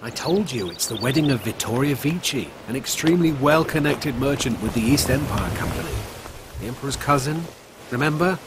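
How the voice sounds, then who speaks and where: An actor playing a snobbish, condescending merchant in a video game, heard in game audio with outdoor background noise. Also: male voice, mid-range voice, expressive, brisk, close to an everyday voice. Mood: impatient. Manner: condescending, impatient. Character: snobbish male merchant, traveling to a wedding. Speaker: game character.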